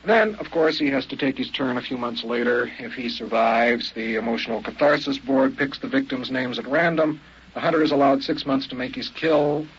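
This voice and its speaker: A man's voice: medium pitch, moderate pace, natural voice. An actor playing a polite, brooding, experienced killer in an old-time radio drama, hesitant and musing as he talks. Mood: hesitant, explaining. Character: seasoned licensed killer, polite, hesitant, quietly brooding man. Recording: muffled, noisy old radio recording.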